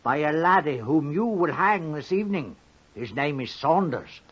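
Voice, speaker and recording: A sly, scheming prisoner in an old radio drama. The voice is raspy and fairly high, swooping up and down, on a muffled vintage recording. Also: male voice, lively intonation, normal speed. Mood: eager pleading. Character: wily condemned male thief John Head, cackling, wheedling, scheming. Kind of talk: 1950s radio drama acting.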